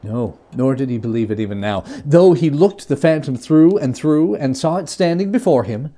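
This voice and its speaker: A man reading a classic ghost story aloud in a theatrical, expressive manner with emphatic phrasing. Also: a little high, moderate pace. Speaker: reader.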